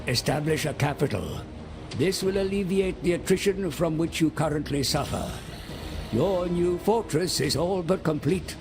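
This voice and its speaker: A scheming male advisor, offers dark counsel in a fantasy game cinematic. He speaks slowly and formally, persuasive and full of portent, over clear background music. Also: a little high. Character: scheming male guide and advisor to a demon prince. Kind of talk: dramatic game cinematic narration. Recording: music behind the voice.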